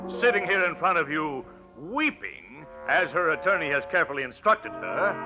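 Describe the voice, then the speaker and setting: An actor playing an aggressive, scornful prosecuting attorney with theatrical, emphatic oratory in 1940s radio drama style. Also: male voice, high voice. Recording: muffled old radio broadcast recording.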